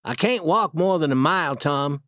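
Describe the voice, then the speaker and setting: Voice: medium pitch, animated, moderate pace, a bit bright, dry recording, voice pushed up, lightly acted. A storyteller voicing a nervous, cautious boy who is afraid of spirits, speaking hesitantly. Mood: worried. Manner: hesitant, worried.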